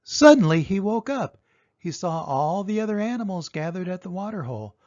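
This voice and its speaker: Animated older man reading a storybook. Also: high-pitched, a little fast, no echo, dull audio. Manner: expressive, dramatic emphasis on sound words. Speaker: storyteller reading aloud to children. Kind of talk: animated children's storybook narration.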